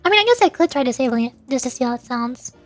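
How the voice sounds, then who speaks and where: A woman's voice: high voice, expressive, rapid-fire, soft background music. A giggly woman streamer chatting casually to her viewers on a livestream.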